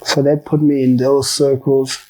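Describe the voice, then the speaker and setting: Relaxed slangy rapper man, mellow voice. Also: medium pitch, moderate pace, rough recording. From South Africa. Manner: loose, slangy, swears freely, laughs while telling stories. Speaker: rapper, interview guest. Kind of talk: casual interview chat.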